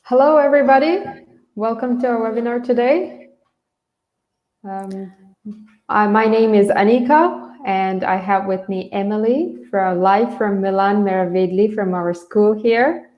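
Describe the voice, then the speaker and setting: A woman's voice: mid-range voice, a little slow, lo-fi sound. A woman from Bangladesh hosting an online English lesson, explaining things informally and a little hesitantly. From Bangladesh, grew up in Dhaka. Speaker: English teacher, webinar host. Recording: roomy, echoey sound.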